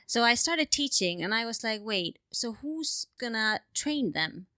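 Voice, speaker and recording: A woman's voice: a little high, expressive, measured pace, sharp tone, no room sound. A woman in her thirties from Iceland with an Icelandic accent, a guest in a casual interview who jokes and laughs often. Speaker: guest researcher. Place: remote studio.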